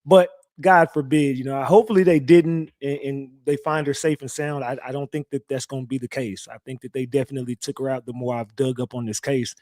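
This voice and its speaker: A young man with a slightly raspy, recovering voice, hosting a casual live stream and talking loosely to his chat with slang and lots of repeats. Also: medium pitch, steady tone, brisk, hardly any pauses, a little mellow, dry recording, studio quality. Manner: loose, repetitive, talks straight to chat with slang. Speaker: live-stream true crime host. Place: home streaming setup.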